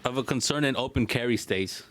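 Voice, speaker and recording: A man's voice: medium pitch, brisk, a bit bright, no echo. A man in a casual podcast chat who answers hesitantly and measuredly, often starting with an um.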